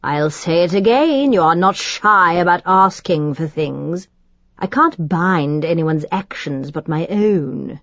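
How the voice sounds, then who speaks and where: A woman's voice: lowish voice, animated, takes it slowly, a bit bright, no echo, lo-fi sound, exaggerated voice. A woman voicing a cruel, haughty male demon lord with a precise, mocking British accent. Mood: dismissive.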